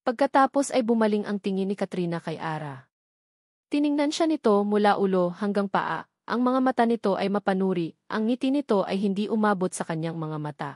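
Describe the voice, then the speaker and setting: Female narrator for romance audio drama: scripted storytelling, medium pitch, very dry sound. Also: animated, brisk, darkish tone, dry recording.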